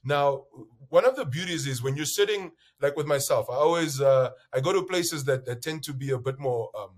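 A loud-voiced man from Namibia talks in an interview about his work. He tells his stories with energy, slipping into quoted voices. His voice sits a little high for a man. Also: normal speed. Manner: acts out bar conversations, lively quoted voices. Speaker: bitcoin entrepreneur guest. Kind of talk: animated interview storytelling.

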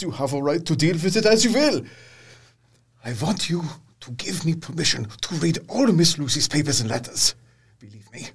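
A man's voice: on the high side, breathy edge, full character voice. An actor playing an earnest, fatherly older professor, grave and mysterious, with solemn, emphatic and formal phrasing. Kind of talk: period audio drama acting.

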